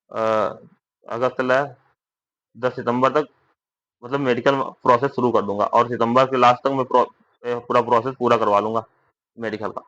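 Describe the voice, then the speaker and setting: A man's voice: medium pitch, fairly bright tone, lo-fi sound. A young man gives an informal explanatory update, repeating himself reassuringly and often adding tag questions. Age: young adult. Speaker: content creator giving recruitment updates to fellow candidates.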